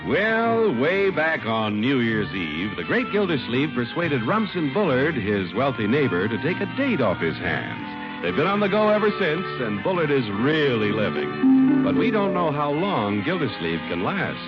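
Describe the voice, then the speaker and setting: A man's voice: medium pitch, very animated, measured pace. A male 1950s radio announcer with a polished, upbeat, emphatic delivery and big swings in intonation. Music plays behind him on a dull old recording. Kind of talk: 1950s radio advertising and scripted narration.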